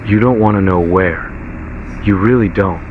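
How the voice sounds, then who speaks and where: A man's voice: low voice, a little slow. A man reading a scripted horror story in a grim, ominous way, in short clipped phrases. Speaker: narrator. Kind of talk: scripted horror story narration in second person. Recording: lo-fi muffled VHS-style audio, heavy noise.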